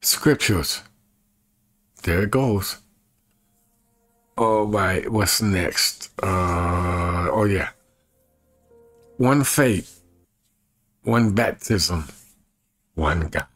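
A man in his fifties with a husky voice, chatting casually to camera in a folksy way and repeating himself as he demonstrates. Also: deep voice, extremely slow, long pauses, a bit bright, no room sound, soft background music. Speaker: evangelist and video creator. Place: small bedroom, indoors.

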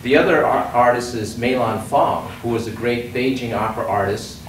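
A man in his forties giving a talk at a podium in a hall, halting with many fillers and restarts. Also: medium pitch. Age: 40s. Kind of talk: lecture at a podium. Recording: roomy distant hall recording with noise.

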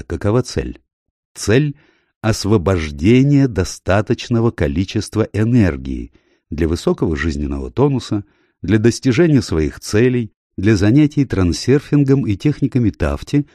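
A man reading a nonfiction audiobook in measured phrases, stressing key words, close to the microphone. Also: lowish voice, warm tone, dry recording. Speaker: audiobook narrator. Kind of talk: scripted nonfiction audiobook narration.

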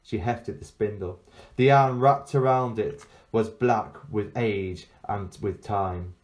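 A man reading a fairy tale aloud like a teacher to pupils, in expressive storybook narration. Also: lowish voice, slow pace.

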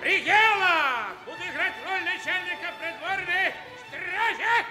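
An actor playing a boisterous commedia dell'arte mask, proclaiming the show in a shouted, declamatory way. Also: male voice, very high voice, lively intonation, unhurried. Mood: boisterous, festive. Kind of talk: theatrical stage proclamation. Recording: echoey stage, loud music and crowd.

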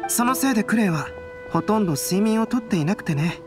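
A man voicing a calm, polite young painter in an anime game, with a composed, soft-spoken, reserved manner. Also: high-pitched, fast, music in the background. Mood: mildly troubled. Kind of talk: Japanese anime game voice acting.

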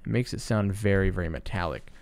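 Low-key guy explaining stuff in a casual tutorial, lots of vocal fry, dry sound. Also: fast, darkish tone, dry recording. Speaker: sound designer, tutorial presenter. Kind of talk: casual screen-recorded tutorial narration.

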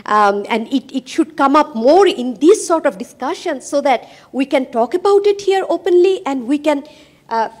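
A woman's voice: sits high, animated, normal speed, a little mellow. A middle-aged woman, a professor speaking on a roundtable panel, emphatic, with many fillers, into a handheld mic in a conference hall. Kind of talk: roundtable discussion.

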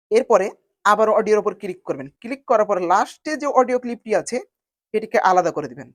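A woman narrating a step-by-step software tutorial in an instructional manner, spelling out each step in repeated phrasing. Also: mid-range voice, dry recording, clean studio sound. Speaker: video editing tutorial host.

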